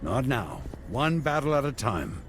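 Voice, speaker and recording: An actor playing a stern, resolute warrior knight in a fantasy game, speaking in a terse, solemn manner. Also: male voice, mid-range voice, sing-song, measured pace, background noise.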